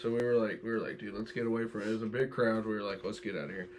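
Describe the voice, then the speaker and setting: A man's voice: lowish voice, steady tone, racing. A young man casually recounting anecdotes to friends, with faint noise behind him.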